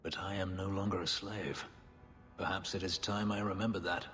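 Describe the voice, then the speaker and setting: An actor playing a bitter but loyal elven former slave in a video game, speaking gravely and guardedly. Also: male voice, sits low, steady tone, moderate pace, a bit bright. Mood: earnest, resolute. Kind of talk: dramatic video game acting. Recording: long hall reverb, faint music behind.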